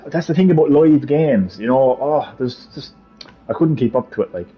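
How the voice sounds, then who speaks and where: Swearing Cork Irish streamer. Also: male voice, medium pitch, animated, normal speed, faint music behind. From Ireland. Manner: profane, jokey, ranting. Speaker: livestream host. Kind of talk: casual live stream chat with viewers. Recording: slightly muffled stream audio.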